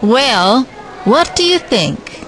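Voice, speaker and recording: A woman's voice: fairly high pitch, very animated, drawn-out words, fairly bright tone, slight background noise, exaggerated voice. A woman playing a proud, cheerful garden designer in an audio drama, self-satisfied and friendly.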